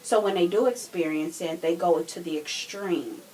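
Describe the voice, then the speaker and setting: Woman, lowish pitch, casual podcast talk, earnest, explanatory, faint background noise. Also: moderate pace, darkish tone, low-quality recording. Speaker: podcast co-speaker.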